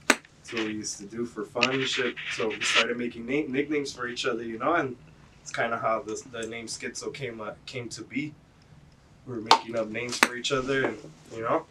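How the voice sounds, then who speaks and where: A man from Los Angeles, a guest in a casual chat, laid-back and rambling, swearing often. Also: mid-range voice. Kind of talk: casual chat over a beer pong game. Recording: distant mic with loud background noise.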